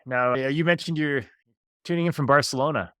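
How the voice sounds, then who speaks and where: A man's voice: medium pitch, a little fast, sharp tone, clean tone, no echo. A man hosting a podcast who reads an upbeat scripted introduction with emphasis, then asks interview questions. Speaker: podcast host.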